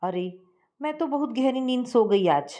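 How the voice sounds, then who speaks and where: A woman voicing an affectionate middle-aged mother-in-law, gentle and fondly scolding. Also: on the high side, lively intonation, unhurried.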